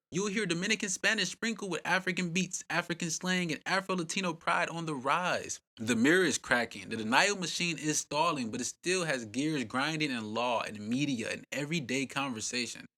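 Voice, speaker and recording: A man's voice: on the high side, sharp tone. A man host narrating a scripted history documentary in a punchy, dramatic way with rhetorical questions.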